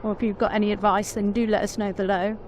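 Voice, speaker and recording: A woman with a naturally quiet voice, chatting casually on a vlog and laughing often. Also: medium pitch, flat delivery, quick pace, muffled recording, a little hiss or hum. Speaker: motorcycle vlogger, pillion rider. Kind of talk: casual chat.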